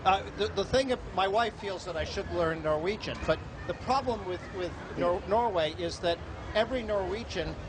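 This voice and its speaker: A man campaigning for the Senate, chatting genially outdoors in a halting way full of fillers. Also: high voice, a crowd in the background, poor audio. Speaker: Senate candidate. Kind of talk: casual campaign chat. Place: outdoors on a town street. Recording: street noise outdoors.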